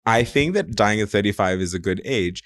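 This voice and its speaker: A man's voice: fairly low pitch, lively intonation, brisk, fairly bright tone. A man in his thirties co-hosting a podcast, bantering casually in a campy, theatrical and teasing manner. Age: 30s. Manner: campy, teasing, theatrical, calls people honey and darlings. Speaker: podcast co-host.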